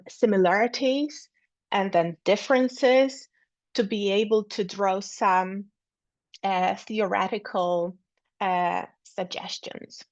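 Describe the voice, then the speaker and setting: Slow, hesitant woman giving an academic talk. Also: mid-range voice, a little mellow, no echo. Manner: hesitant, many fillers and repeated words. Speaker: academic speaker. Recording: dull lo-fi sound.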